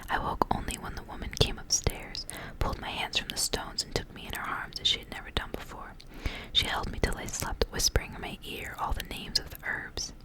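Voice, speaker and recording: Female ASMR storyteller, fully whispered, hushed and deliberate delivery of literary fairy-tale prose. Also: rough recording. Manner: soft unvoiced whisper, hushed and deliberate, phrase by phrase.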